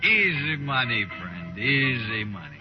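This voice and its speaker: A swaggering outlaw from an old radio western. He talks slowly and boastfully in folksy cowboy phrases, quick to suspect. The recording is dull and vintage. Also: medium pitch, big pitch swings, soft background music. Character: swaggering, suspicious outlaw gunman of the Old West. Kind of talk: 1950s radio western acting.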